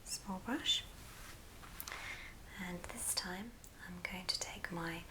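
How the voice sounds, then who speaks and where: A woman whispers an unhurried, step-by-step craft tutorial in a breathy ASMR style, as the host demonstrating it. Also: lowish voice. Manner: unhurried, murmured step-by-step narration. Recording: hissy background noise with small clicks.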